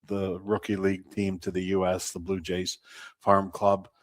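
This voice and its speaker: Low-pitched man, halting interview talk. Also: completely flat delivery, no echo, clean studio sound. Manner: measured, halting, often pausing mid-sentence. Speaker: baseball team representative, interview guest. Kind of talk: unscripted sports interview talk.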